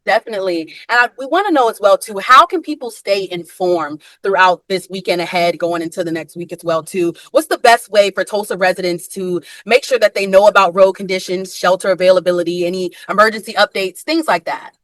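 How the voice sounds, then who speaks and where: A woman's voice: medium pitch, brisk, hardly any pauses, a bit bright, no echo. An American woman working as a local news reporter, asking questions in a live interview. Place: outdoors reporting on snowy roads.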